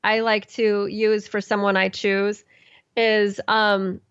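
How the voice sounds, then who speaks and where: A woman's voice: mid-range voice, little pitch movement, takes it slowly, smooth, no room sound, muffled recording. A woman who is a classical musician, chatting on a podcast in a rambling way, with restarts and ums. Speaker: classical musician and podcast host, guest here. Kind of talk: casual podcast conversation.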